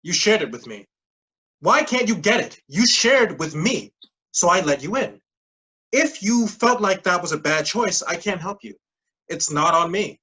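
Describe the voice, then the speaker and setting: A condescending, defensive schemer in a stage play, acted by a reader. The voice is husky and mid-pitched, moving animatedly as they stubbornly hold their ground. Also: lively intonation, a little slow, sharp tone, full character voice, poor audio. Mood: defensive, irritated. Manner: patronizing, defensive. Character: condescending, stubborn game leader refusing refunds.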